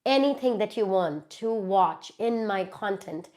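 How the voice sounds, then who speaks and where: A woman's voice: mid-range voice, lively intonation, measured pace, darkish tone. A woman from India, stuffy from a cold, chatting casually with viewers on a live stream from her phone. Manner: chatty, reads out viewer comments and answers them. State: congested from a cold. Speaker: YouTuber hosting a live Q&A.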